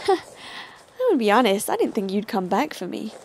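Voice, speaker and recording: A woman's voice: medium pitch, very animated, moderate pace, slight background noise, low-quality recording. An actress playing a cautious, practical young female survivor in an audio drama, speaking in a hesitant, guarded way. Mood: guarded, curious.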